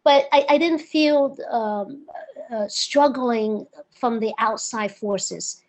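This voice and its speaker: A woman's voice: on the high side, takes it slowly, low-quality recording. A woman in her fifties, born in Hong Kong and raised in the United States, eagerly telling her story as an interview guest. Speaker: guest, real estate broker. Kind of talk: conversational interview.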